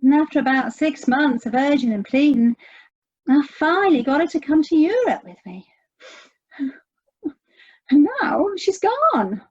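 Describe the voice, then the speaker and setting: A woman's voice: sits high, sing-song, a little slow, pauses often, lo-fi sound. An actress in an audio play playing an anxious, sardonic American woman searching for her estranged sister. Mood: worried, exasperated.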